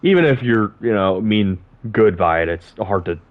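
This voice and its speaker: A man's voice: lowish voice, animated, moderate pace. A man chatting casually while playing a game, joking, swearing and laughing often. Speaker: gamer. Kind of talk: casual gaming chat. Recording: dull lo-fi sound.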